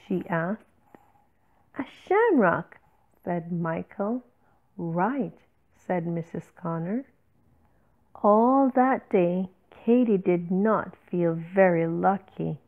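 A woman reading a picture book aloud to children, with slow, deliberate and expressive phrasing. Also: mid-range voice. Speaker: children's story reader. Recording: tinny, phone-like narrow-band audio.

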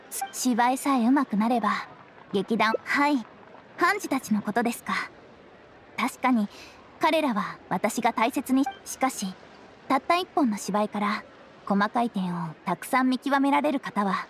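A woman's voice: a little high, a little hiss or hum, lightly acted. A woman playing a modest young female opera performer in a video game, refined, composed and courteous. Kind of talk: Japanese video game voice acting.

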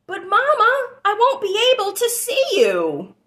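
An anxious little elephant calf from a picture book, voiced by a woman reading aloud. The voice is very high and swoops around. Its questions are plaintive and pleading, full of longing for its mother. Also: huge pitch swings, unhurried, a bit bright, reverberant, exaggerated voice. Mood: pleading, worried. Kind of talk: expressive children's storybook read-aloud.

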